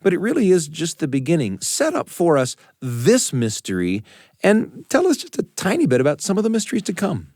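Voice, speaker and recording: A man hosting a story podcast, asking questions and giving introductions in a polished, expressive presenter's style. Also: on the high side, a little fast, dry recording, studio quality. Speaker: podcast host. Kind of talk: radio interview and scripted introductions.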